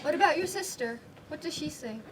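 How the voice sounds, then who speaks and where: A woman's voice: sits high, animated, fairly quick. A young woman student acting in an amateur stage play as an earnest, encouraging drama student friend. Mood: concerned. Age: teenager or young adult student performer. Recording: distant, noisy live stage recording.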